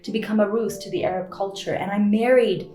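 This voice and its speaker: Woman guest telling her story with emphatic, building passion, a mid-pitched voice, roomy echo and faint music. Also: fairly even intonation, a little fast, dark tone. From grew up in the Middle East to American parents. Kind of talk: earnest testimony.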